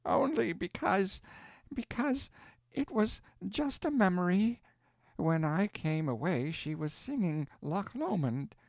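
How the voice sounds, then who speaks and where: A man's voice: on the high side, very animated, a little slow, hardly any pauses, a little mellow, no room sound, studio quality, voice pushed up. A man voicing a grieving older aunt who speaks tearfully and hesitantly.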